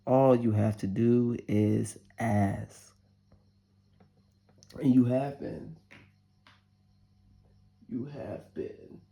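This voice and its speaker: A woman in her forties with a deep voice giving a casual tarot reading and prayer, chatty and candid, cursing freely. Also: fairly even intonation, drawn-out words, gravelly, long pauses, mellow. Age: 40s. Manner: chatty, candid, curses freely and laughs often. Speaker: tarot reader. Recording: roomy echo.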